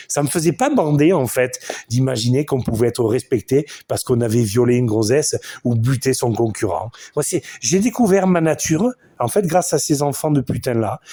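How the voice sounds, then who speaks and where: A man's voice: mid-range voice, dark tone, slightly rough. Crude ranting man with Southern French accent. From southern France. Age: 40s. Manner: crude, combative, boastful rant with swearing. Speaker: podcast host. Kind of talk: monologue podcast.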